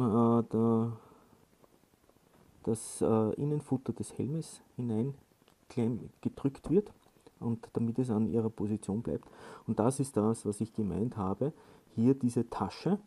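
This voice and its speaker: A man giving casual hands-on instructions in a halting way, with frequent filled pauses. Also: medium pitch, mellow, slightly rough. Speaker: product tutorial presenter.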